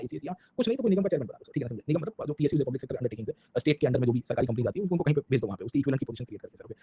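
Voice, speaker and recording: Husky Indian man lecturing at top speed. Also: fairly high pitch, mellow. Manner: conversational, mixes Hindi and English, frequent check-in tags. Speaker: history lecturer for exam students. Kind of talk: informal classroom lecture. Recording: dull, lo-fi lecture audio.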